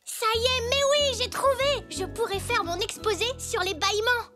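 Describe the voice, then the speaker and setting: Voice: extremely high pitch, lively intonation, normal speed, over loud music. A performer voicing an eager, curious young zebra boy who talks earnestly in a children's cartoon. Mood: excited. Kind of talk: children's cartoon dub acting.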